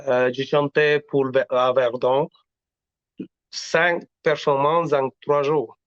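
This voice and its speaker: Singer guest, male, halting and earnest, middle pitch, muffled lo-fi recording. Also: flat delivery, dry recording. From Latin America. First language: Spanish. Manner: earnest, halting, frequent restarts. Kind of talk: casual interview talk. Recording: dull lo-fi audio.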